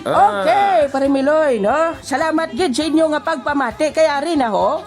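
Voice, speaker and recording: A woman's voice: high-pitched, normal speed. A woman voicing a cheerful, teasing old grandpa who hosts a comedy radio program with exclamations and announcements. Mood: upbeat. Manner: animated, exclaiming, giggly. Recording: music behind the voice.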